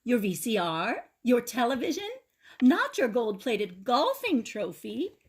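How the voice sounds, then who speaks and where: A woman's voice: fairly high pitch, very animated, unhurried, slightly rough, voice pushed up, exaggerated voice. A woman voicing a concerned, questioning mother in a children's storybook read-aloud. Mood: shocked.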